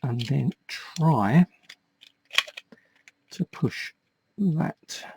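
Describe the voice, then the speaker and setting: A man with a slightly husky voice giving a casual step-by-step repair demonstration, explaining patiently and a little hesitantly as he works with his hands. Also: medium pitch, lively intonation, drawn-out words, a little mellow, slight background noise. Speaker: host of a toy repair tutorial.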